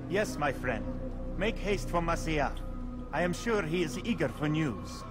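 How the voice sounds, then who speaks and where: An actor playing a loyal, earnest informant to an assassin in a video game, formal and assured. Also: male voice, on the high side, expressive, a little slow, over music. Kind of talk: dramatic video game cutscene acting.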